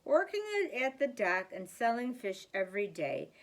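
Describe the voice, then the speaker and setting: A woman reading a children's picture book aloud as a storyteller, deliberately and with theatrical emphasis. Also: a little high, very animated.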